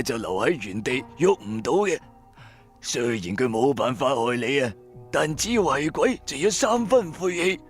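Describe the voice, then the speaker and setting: A man playing a shifty, theatrical fortune teller who poses as blind, drawing out his words mysteriously in a radio drama. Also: medium pitch. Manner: theatrical, drawn-out, mock-mysterious. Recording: background music under voice. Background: music.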